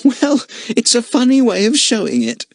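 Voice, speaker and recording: A man's voice: extremely high pitch, very animated, moderate pace, no echo, studio quality, pitched above the performer's own voice, exaggerated voice. A man voicing a kindly motherly woman in a storybook reading. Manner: gentle and kindly. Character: warm motherly woman running a caravan home.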